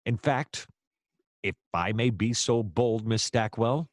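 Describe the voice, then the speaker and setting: An actor playing a wry, gallant private detective who narrates a noir radio play in dramatic, formal phrasing. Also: male voice, lowish voice, slow pace, no echo, studio quality. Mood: earnest, gallant.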